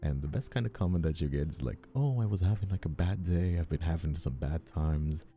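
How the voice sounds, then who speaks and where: A man in his twenties who sounds older than his years, chatting casually on a livestream in a halting, rephrasing way. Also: a bass voice, dark tone, soft background music. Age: 20s. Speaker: ASMR streamer and voice actor.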